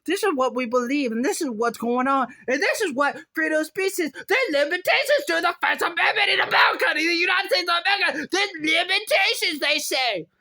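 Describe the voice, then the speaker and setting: A man putting on a squeaky, agitated complainer who rants in exclamations, repeating himself and drawing out words. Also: expressive, exaggerated voice. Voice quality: high, bright, squeaky. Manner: exclamatory, repetitive, drawn-out words. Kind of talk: rambling solo rant.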